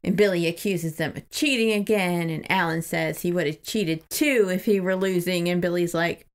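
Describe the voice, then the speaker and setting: Woman, slightly low voice, podcast co-host, casual book recap, amused informal delivery. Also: moderate pace.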